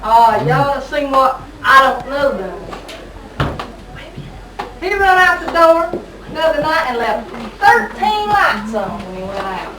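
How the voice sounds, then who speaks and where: A woman performing an amateur comic skit monologue in a halting way, stumbling over her lines. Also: sits high, very animated. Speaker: amateur puppet show performer. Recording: distant noisy echoey home video.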